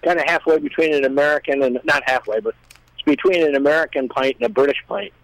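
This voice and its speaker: A man chatting casually as a guest, explaining things in a rambling, halting way. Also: on the high side, dry recording, rough recording. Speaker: guest, musician. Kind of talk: casual chat.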